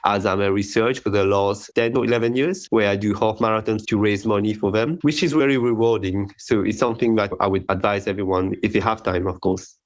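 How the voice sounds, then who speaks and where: A man's voice: lowish voice, fairly even intonation, fairly quick, hardly any pauses. Chatty man with a French-Mauritian accent. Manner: chatty, often chuckles while talking. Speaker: interview guest, care home manager. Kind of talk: casual interview answers with personal anecdotes.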